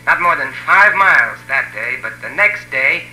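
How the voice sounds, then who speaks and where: A man's voice: medium pitch, lively intonation, moderate pace, sharp tone, roomy sound, poor audio. A man acting as a weary, grim jungle survivor who recounts his ordeal in dramatic 1950s radio style. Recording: old radio transcription, boxy, hissy.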